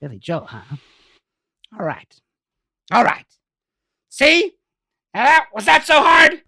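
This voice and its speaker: A woman playing a vain, volatile Hollywood diva in a comic radio drama, theatrical, husky and domineering. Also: high-pitched, very animated, slow pace, pushed and bright, no echo, clean studio sound, full character voice. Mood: hard bargaining.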